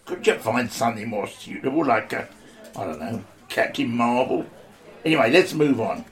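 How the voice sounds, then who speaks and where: A man playing an old pensioner ringleader, bossy and chatty, who slips into rough slang. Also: mid-range voice, animated, normal speed, fairly bright tone, natural voice. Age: older.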